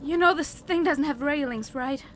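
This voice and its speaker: A repentant female adversary, acted by a woman in an audio drama. Her voice is high, earnest and halting as she pleads, with slight noise behind it. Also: close to an everyday voice. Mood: uneasy. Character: Nemesis, remorseful pleading female enemy of the hero.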